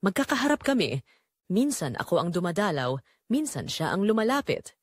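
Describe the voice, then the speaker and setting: A woman with a Filipino accent narrates a dramatic scripted story in the first person, in deliberate, emotive short phrases. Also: mid-range voice, very animated, no echo, clean studio sound.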